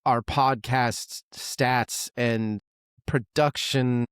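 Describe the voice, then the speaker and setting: A man co-hosting a music podcast in relaxed chat, cracking sarcastic jokes and swearing casually. His voice sits at a middle pitch and is recorded close and dry, with no room sound around it. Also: drawn-out words, smooth, dry recording. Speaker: podcast co-host.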